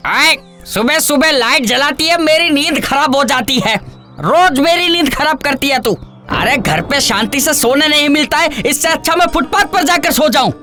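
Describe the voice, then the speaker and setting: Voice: high voice, bright, forceful tone, heavily acted. A voice actor playing a bullying, complaining rickshaw-driver husband in a cartoon, scolding and demanding. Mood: irritated, complaining. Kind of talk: animated cartoon dialogue.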